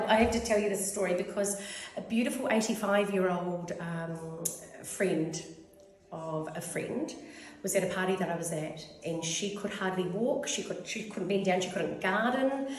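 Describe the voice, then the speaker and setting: Woman, 50s, New Zealand accent, mid-pitched, rambling and effusive client testimonial, heavily echoey room. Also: big pitch swings, measured pace. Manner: rambling, effusive, goes off on tangents. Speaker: clinic client giving a testimonial. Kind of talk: casual testimonial interview. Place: clinic room.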